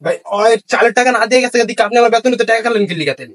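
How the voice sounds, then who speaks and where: A man's voice: very high voice, racing, fairly bright tone, dry recording. A man with a Bangladeshi accent playing an aggrieved, hot-headed shop worker in a comedy skit, coarse and complaining. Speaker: comic actor in an online skit. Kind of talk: broad comedy skit acting.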